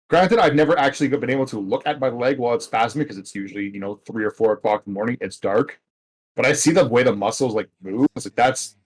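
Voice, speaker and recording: A man's voice: medium pitch, slightly rough, rough recording. A man in casual conversation with friends as a gaming video co-host, telling wry, rambling anecdotes. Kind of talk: casual chat among friends over a game video.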